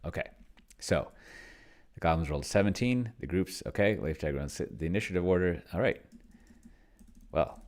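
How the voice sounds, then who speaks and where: A man giving casual, rambling screen-share commentary as a solo tabletop gamer, thinking aloud and often trailing off mid-thought. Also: low voice. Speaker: solo tabletop role-player, video host.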